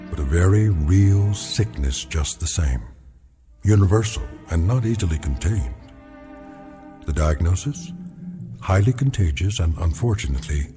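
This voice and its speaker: An actor playing a grave, ominous anthology narrator, delivering solemn, portentous scripted narration straight to the listener. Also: male voice, low voice. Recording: clear music under narration.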